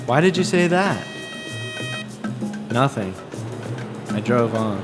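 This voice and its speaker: A man reading a scripted first-person story in a deadpan, terse way, as a wry, observant driver out with his girlfriend. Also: mid-range voice, takes it slowly. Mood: wry, detached. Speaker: author reading excerpts from his own novel. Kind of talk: scripted first-person narration with dialogue over music. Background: music.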